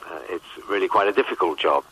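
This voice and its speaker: A man in his fifties answering questions in a measured, hesitant way on a radio phone interview. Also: sits low, fairly bright tone, slightly rough, poor audio. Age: 50s. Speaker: rock band manager, interview guest. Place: on a phone call to a radio show. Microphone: telephone.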